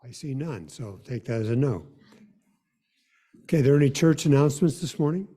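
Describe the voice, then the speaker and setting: A man acting as worship leader, giving an informal, slightly halting welcome and announcements at a church service. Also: medium pitch, lively intonation, mellow.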